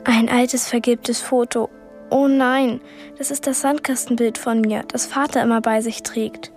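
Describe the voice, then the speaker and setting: A woman's voice: fairly high pitch, fairly even intonation, measured pace, darkish tone, soft background music. An actress playing a brave but anxious twelve-year-old girl in a radio drama, earnest and defiant. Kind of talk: German radio drama acting.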